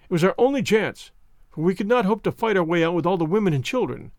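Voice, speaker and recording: A man's voice: high voice, expressive, fast talker, darkish tone, no echo, clean studio sound. A man reading an audiobook, voicing a bitter, twisted former soldier with a vehement, impassioned delivery. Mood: bitter storytelling.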